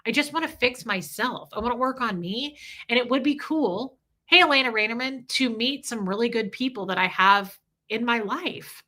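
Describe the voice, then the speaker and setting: Woman, 40s, livestream host; bright, slightly high voice, candid and profane. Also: moderate pace. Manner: candid and profane, reading viewer comments aloud. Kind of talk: casual livestream chat reading super chats.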